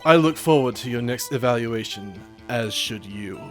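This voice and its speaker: A man's voice: mid-range voice, lively intonation, normal speed, over music, voice pushed down, close to an everyday voice. A man voicing a haughty, disdainful prosecutor in a video game, with pompous, formal phrasing.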